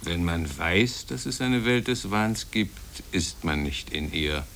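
An actor playing a solemn reciter of old sayings in a 1950s radio drama, measured and declamatory. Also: male voice, deep voice, animated, gravelly, a bit bright, full character voice. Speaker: actor reading quotations.

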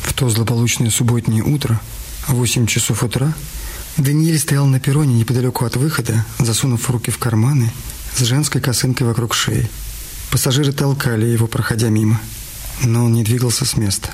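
A man's voice: medium pitch, steady tone, fairly quick, a little mellow, a little hiss or hum. A man narrating a dramatized story for radio as a scripted reading.

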